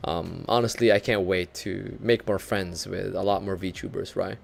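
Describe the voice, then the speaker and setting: A man's voice: low-pitched, moderate pace, vocal fry. A young Filipino man streaming as a VTuber, chatting casually and laughing often, with English that leans North American. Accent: light North American-leaning English, Filipino speaker. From Philippines. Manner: chatty, self-deprecating, laughs often, animated when retelling. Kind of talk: casual live-stream chat with viewers.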